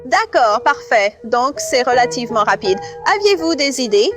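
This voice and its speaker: A woman plays an obliging bridal stylist in a dubbed reality show, guiding customers through their options. The voice is fairly high, with faint music behind it. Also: animated, normal speed, natural voice. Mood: helpful. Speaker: bridal shop consultant.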